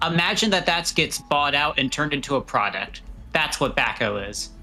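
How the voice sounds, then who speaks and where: A man's voice: fairly high pitch, lively intonation, moderate pace, pushed and bright, soft background music. A man chatting casually as a livestream guest, with a dry, wry, rambling way of bantering.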